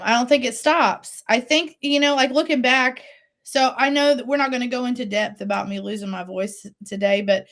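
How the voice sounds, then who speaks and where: A woman's voice: fairly high pitch, fairly bright tone. Earnest woman in her forties, reflective. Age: 40s. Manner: earnest, faith-driven, with laughs and restarts. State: voice recovering after loss. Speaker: singer-songwriter guest. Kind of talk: reflective interview talk.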